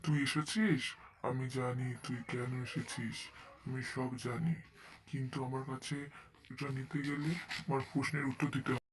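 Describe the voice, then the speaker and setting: A man's voice: medium pitch, little pitch movement, moderate pace, lightly acted. A man voicing a stern, knowing cave-dwelling mystic in a cartoon, with a commanding, condescending manner. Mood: stern, testing. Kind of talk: cartoon voice acting.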